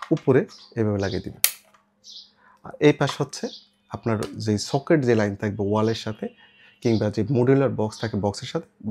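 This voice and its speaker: A man's voice: medium pitch, darkish tone. Bangladeshi man demonstrating, noisy recording. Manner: instructional, step-by-step, mixing in English words. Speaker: shop seller presenting products. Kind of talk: informal product demonstration. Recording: clear background noise with clicks.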